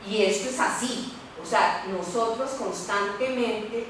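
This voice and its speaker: A middle-aged woman lawyer, speaking on a university panel in a candid, animated way and often adding "¿verdad?". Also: medium pitch, slow pace, background noise. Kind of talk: panel talk. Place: lecture room. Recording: echoey room, voice from far off.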